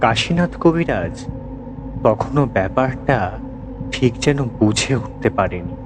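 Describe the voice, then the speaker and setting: A man narrating a scripted horror story in a dramatic, measured, suspenseful manner. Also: medium pitch, very animated, background noise.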